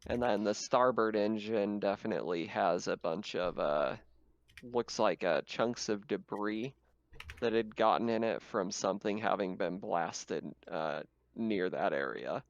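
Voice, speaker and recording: Low, clear-voiced male game master, slightly muffled. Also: a little slow. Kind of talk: improvised narration of a tabletop game. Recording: slightly muffled, dull home mic.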